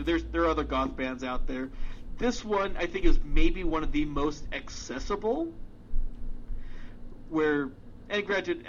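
Man, podcast co-host, loose rambling talk with fillers and swearing, slightly high voice, muffled lo-fi sound. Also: fairly bright tone, a little hiss or hum. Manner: rambling, many fillers and restarts, swears casually. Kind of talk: casual chat.

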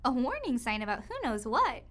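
A woman's voice: fairly high pitch, big pitch swings, a little fast, fairly bright tone. A woman playing a chatty, naively optimistic bird in a comic audio drama, telling the story in a breezy, self-important way. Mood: cheerfully oblivious.

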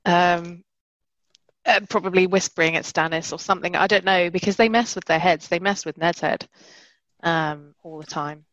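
A woman co-hosts a podcast in loose, hesitant chat full of fillers and dry self-mocking jokes. Her voice sits a little low. The sound is dry and slightly dull. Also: steady tone, moderate pace, fairly bright tone, no echo. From Britain. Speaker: podcast co-host. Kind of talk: casual chat.

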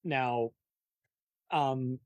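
A woman's voice: a bass voice, fairly even intonation, drawn-out words, darkish tone, no echo, dull audio. A woman hosting an interview in a casual, opinionated style, talking about films in a wry, emphatic way. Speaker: interviewer, host. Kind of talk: casual opinionated film chat.

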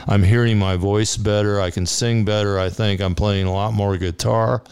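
Deep, clear-voiced man telling stories. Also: steady tone, normal speed, darkish tone. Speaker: singer-songwriter being interviewed. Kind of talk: casual interview, anecdotal storytelling.

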